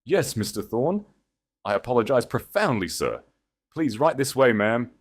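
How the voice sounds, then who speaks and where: Man voicing a gruff hotel bouncer in an audiobook. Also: medium pitch, sing-song, normal speed, voice pushed up. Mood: deferential. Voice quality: gruff, grunting. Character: burly, dismissive, patronizing male nightclub bouncer turning servile.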